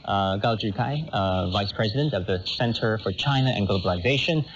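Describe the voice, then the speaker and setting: Male news-show moderator, slightly low voice, measured questions, muffled shortwave broadcast. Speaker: radio host. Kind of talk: current affairs interview moderation. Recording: muffled shortwave radio, heavy static. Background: loud shortwave static.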